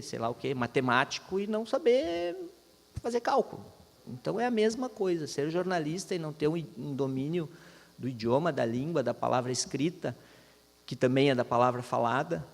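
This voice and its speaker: A man's voice: mid-range voice, gravelly. A man in his fifties, a veteran journalist, talking to university students in a thoughtful way, with many restarts and fillers. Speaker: guest speaker, veteran journalist. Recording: long hall reverb.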